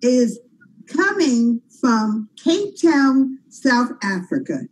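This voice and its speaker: An enthusiastic woman co-hosts, laughing easily and heaping praise on her guest. Her voice sits fairly high and swings widely in pitch. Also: big pitch swings, very slow, a little mellow, a little hiss or hum, rough recording. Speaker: co-host. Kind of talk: casual interview chat.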